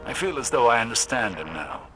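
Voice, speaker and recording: An actor playing a proud, remorseful old-world warrior in a video game, speaking formally and deliberately. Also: male voice, medium pitch, big pitch swings, pushed and bright, faint music behind, low-quality recording. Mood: brooding. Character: proud, remorseful ancient warrior haunted by his past. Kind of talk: scripted video game cutscene acting.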